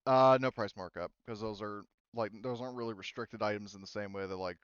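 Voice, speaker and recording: Man, game master, clear smooth voice, halting casual narration, dry close sound, slightly dull audio. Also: on the low side, dry recording. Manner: halting, informal, restarts phrases often. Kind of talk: casual tabletop game narration, explaining rules.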